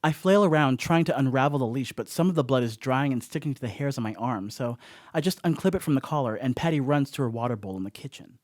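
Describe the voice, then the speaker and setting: An actor playing a rattled, wry slacker who rambles through a confession in a horror comedy radio play. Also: male voice, medium pitch, expressive, quick pace, dry recording, studio quality, lightly acted. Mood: uneasy, wry.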